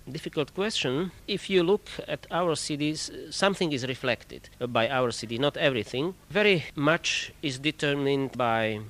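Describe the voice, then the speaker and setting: Man, choir director, measured formal speech. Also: on the high side, animated, a bit bright. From Czech Republic. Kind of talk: interview answers.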